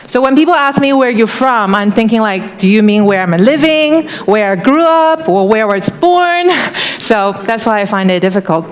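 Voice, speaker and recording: A woman's voice: mid-range voice, smooth. A woman from Taiwan giving a chatty conference talk on stage, asking the audience questions as she goes. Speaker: conference speaker. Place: large conference hall. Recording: hall reverb.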